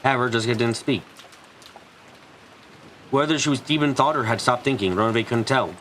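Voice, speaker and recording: A man's voice: medium pitch, normal speed, fairly bright tone, slight background noise, low-quality recording. A man reading story narration aloud as a live dub, with occasional slips as he reads. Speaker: streamer reading a visual novel. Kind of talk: live translated narration and dubbing. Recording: music behind. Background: game music.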